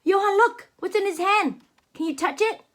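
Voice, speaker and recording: A woman reading a picture book aloud to a young child, animated and gasping, stopping often to ask the child questions. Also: extremely high pitch, normal speed.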